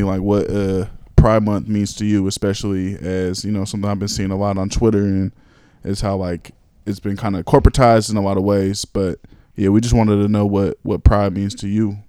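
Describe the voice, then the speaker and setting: A man's voice: lowish voice, little pitch movement, fairly quick, mellow, smooth, no room sound, clean studio sound. A man hosting a podcast, asking a question in a loose, rambling way with "you feel me" and "like". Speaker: podcast host. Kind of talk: casual podcast conversation.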